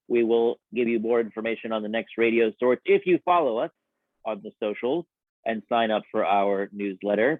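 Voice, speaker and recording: A man's voice: medium pitch, no echo. A man hosting and announcing a live old-time radio show. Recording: slightly dull, dry sound.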